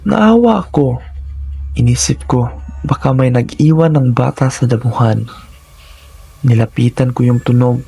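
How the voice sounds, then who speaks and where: A man's voice: medium pitch, a little slow, creaky, long pauses, dark tone, slightly rough. A man narrating scripted first-person horror stories in a dramatic, suspenseful manner, in short phrases.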